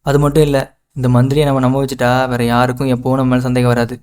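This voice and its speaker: A man's voice: medium pitch, level tone, fast, a little mellow, clear, resonant tone, natural voice. An actor voicing a scheming young male impostor posing as a prince in a cartoon, smug and confident. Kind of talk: cartoon voice acting.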